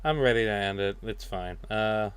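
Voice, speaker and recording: A man chatting casually on a stream in a halting, repetitive way. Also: on the low side, clean tone, no room sound. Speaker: streamer and video editor. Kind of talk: casual chat.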